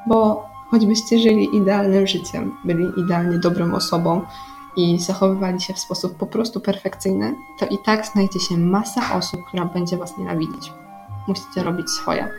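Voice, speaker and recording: A woman's voice: mid-range voice, darkish tone. A young woman hosting a radio segment as a personal, diary-style monologue, speaking directly to listeners as if confiding in them. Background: background music.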